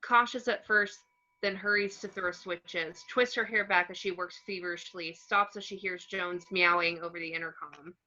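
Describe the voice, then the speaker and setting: Low, bright-edged woman narrator. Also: fairly even intonation, moderate pace, highs cut off. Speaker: narrator reading action descriptions. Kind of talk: screenplay stage-direction narration.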